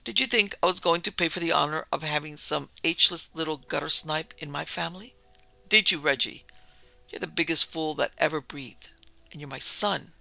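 Man playing a domineering, gravelly older baronet father. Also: a little high, normal speed, pushed and bright, dry recording, faint music behind, heavily acted. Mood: scornful. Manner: blustering, scornful, condescending.

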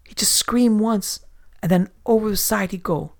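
A man's voice: sits high, normal speed, gravelly, a little mellow. A man voicing a terrified swarthy male sailor who stammers in broken English. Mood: whimpering. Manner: panicked, stammering, whimpering.

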